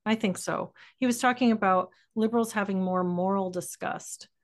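A woman's voice: mid-range voice, moderate pace. A middle-aged American woman in a reflective podcast conversation, searching for words and letting thoughts trail off. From Texas, United States. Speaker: podcast host.

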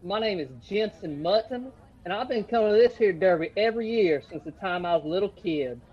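An actor playing a folksy, eager small-town monster truck fan in a radio comedy. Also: male voice, high-pitched, lively intonation, fairly quick, breathy edge, dull audio.

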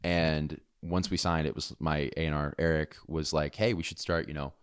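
A young man with a very deep, low voice talks casually about making music, relaxed and chatty, full of "like" and "dude". The sound is dry and close, with no room around it. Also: normal speed, clear, resonant tone, dry recording. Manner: laid-back, chatty, lots of "like" and "dude". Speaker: music artist and producer. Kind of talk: casual interview chat.

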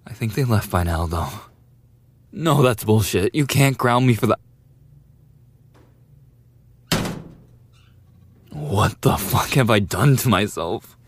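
A man's voice: fairly low pitch, lively intonation, moderate pace, slight background noise. A man playing a brash, defensive young male bully with a desperate side, swearing and taunting in an audio roleplay. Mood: irritated muttering. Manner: foul-mouthed, taunting, defensive talk to the listener. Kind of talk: boyfriend ASMR audio roleplay acting.

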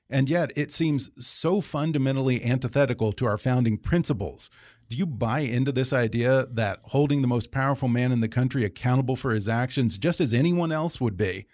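A man's voice: medium pitch, a little mellow, no room sound. American male interviewer, close dry sound. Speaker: interviewer, show host. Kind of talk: conversational interview.

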